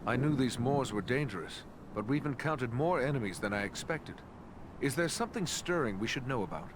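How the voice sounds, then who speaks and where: An actor playing a dutiful, serious ranger adventurer in a fantasy game, speaking in an earnest, formal way. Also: male voice, medium pitch, lively intonation, brisk, background noise, lightly acted. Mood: concerned. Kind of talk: fantasy game cutscene acting.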